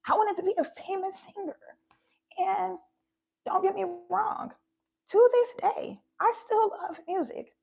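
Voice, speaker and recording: A woman's voice: very high-pitched, a little slow, vocal fry, phone-quality sound. Woman with a shaky, near-tearful voice. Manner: shaky voice that sounds close to tears. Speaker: spiritual vlogger. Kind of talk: heartfelt spiritual talk.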